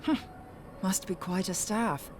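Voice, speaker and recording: A woman's voice: medium pitch, slow, a little hiss or hum, natural voice. An actress playing a brash, sardonic young woman adventurer in a video game, blunt, wry and colloquial in delivery.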